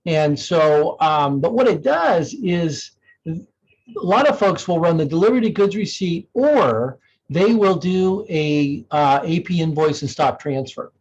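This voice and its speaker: Higher-voiced man giving a software demo, dull audio. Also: lively intonation, unhurried, slightly rough. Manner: conversational, explanatory, thinking aloud. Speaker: software demonstrator. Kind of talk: informal software demo walkthrough.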